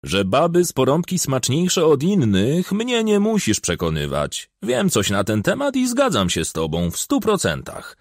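A man's voice: mid-range voice, wildly swooping pitch, moderate pace, hardly any pauses, no room sound, studio quality, pitched above the performer's own voice. A man voicing a shrewd, self-important, argumentative village party secretary in an audiobook. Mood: contradicting. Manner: argumentative, pompous, bragging.

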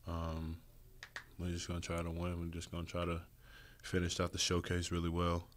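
A young man, a basketball player, answering postgame questions at a press conference in a low-key, hesitant way with many fillers, speaking into a desk mic. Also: completely flat delivery, moderate pace, a little hiss or hum. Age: young adult. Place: press room with logo backdrop. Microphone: desk mic on stand.

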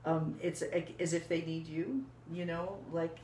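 An older woman calmly explaining her views in a casual conversation. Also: deep voice. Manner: measured, reflective, explaining. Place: small room indoors. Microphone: fixed camera, seated. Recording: roomy with faint background hiss.

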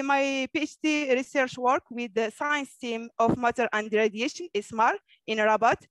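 Woman in her thirties, physics lecturer, slightly high, dry headset mic. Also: dry recording, rough recording. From Morocco. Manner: says "so yeah" often between points. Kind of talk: online seminar talk.

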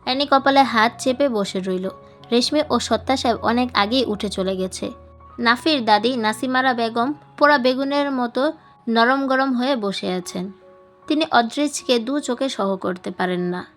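A woman's voice: on the high side, moderate pace. A woman reading a scripted story as an audiobook narrator. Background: music.

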